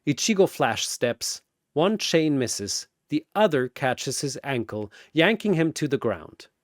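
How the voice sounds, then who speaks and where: A man narrating a scripted battle story in a theatrical way, pausing for emphasis. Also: mid-range voice, sing-song, dry recording, clean studio sound.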